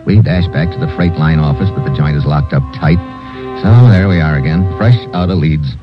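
An actor playing a wry, tough American secret agent in a hard-boiled old radio drama, clipped and world-weary. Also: male voice, rumbling low, normal speed. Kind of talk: hard-boiled 1940s-50s radio narration and acting. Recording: muffled old radio recording, loud music.

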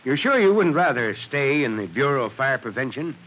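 An actor playing a gruff but kindly middle-aged fire chief in a 1940s radio drama, speaking emphatically. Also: male voice, medium pitch, sing-song, a little fast, close to an everyday voice. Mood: gruff, frank. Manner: emphatic, oratorical. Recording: noisy, dull vintage radio recording.

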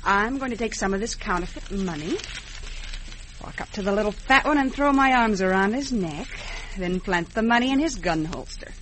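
A woman's voice: medium pitch, animated, moderate pace, a bit bright, close to an everyday voice. An actress playing a commanding, scheming woman counterfeiter in an old-time radio western. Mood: bossy, scheming. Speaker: print shop owner in a western drama. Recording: noisy vintage radio recording.

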